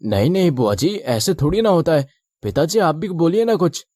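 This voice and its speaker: A man voicing the men of a cartoon family tale, in an expressive, exclamatory way. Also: on the high side, moderate pace, no room sound, studio quality. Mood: indignant. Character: men of a family tale: father, groom, priest. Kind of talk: animated moral-story dialogue.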